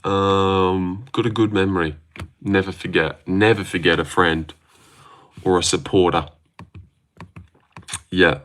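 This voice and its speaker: A low-voiced Australian man chats through a livestream, wandering from thought to thought and joking as he goes. His talk is loose and full of fillers and restarts. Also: flat delivery, slow pace, pauses often, a bit bright. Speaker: livestream host. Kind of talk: casual livestream chat, reading out viewer comments.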